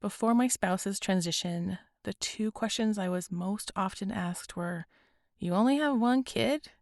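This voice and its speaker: A woman hosting a podcast, speaking earnestly and gently with a raspy, hoarse voice. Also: medium pitch, unhurried, dry recording, studio quality. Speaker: podcast host. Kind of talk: scripted podcast intro and interview.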